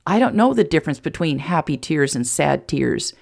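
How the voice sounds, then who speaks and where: A woman's voice: low voice, very animated, normal speed, creaky, a little mellow, heavily acted. A woman voicing an earnest, lovestruck, plain-spoken small-town sheriff in an audiobook romance, fond and a little exasperated. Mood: fond, puzzled. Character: earnest, blunt, lovestruck adult male sheriff turned rancher.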